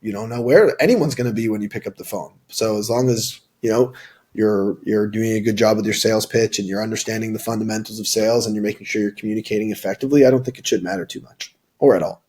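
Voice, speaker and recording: Husky low-voiced young man, casual. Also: fast talker, darkish tone. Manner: informal, filler-heavy, frequent restarts and "like, you know". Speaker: tech sales rep, interview guest. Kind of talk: casual interview answers.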